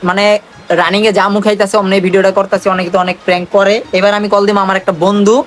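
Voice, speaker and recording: A young Bangladeshi man talks at a quick clip in a casual vlog style. His wording is slangy and teasing, and music plays faintly behind him. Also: sits high, flat delivery. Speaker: vlogger making prank calls. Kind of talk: casual vlog chat to viewers and on calls.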